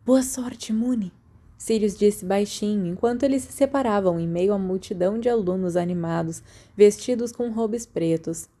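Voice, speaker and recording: A woman's voice: medium pitch, moderate pace, hardly any pauses, dark tone. A Brazilian woman reading a story aloud as scripted audiobook narration. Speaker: podfic narrator.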